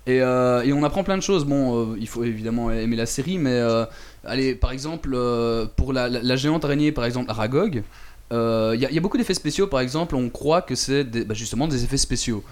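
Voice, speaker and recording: A man's voice: medium pitch, quick pace, darkish tone. A man chatting casually on a talk show, recounting a visit with many fillers and repeated words. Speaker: radio show contributor recounting a trip. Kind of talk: casual chat.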